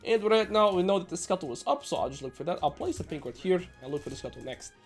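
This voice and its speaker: A man from the Netherlands, sounding nasal and a little sick, giving brisk gameplay commentary as a coach with joking asides. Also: high voice, lively intonation, faint music behind. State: slightly sick. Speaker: game coach.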